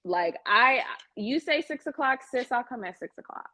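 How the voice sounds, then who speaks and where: A woman's voice: on the high side, expressive, moderate pace, sharp tone. Frank, sassy American woman chatting casually. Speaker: salon client, guest. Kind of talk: casual chat.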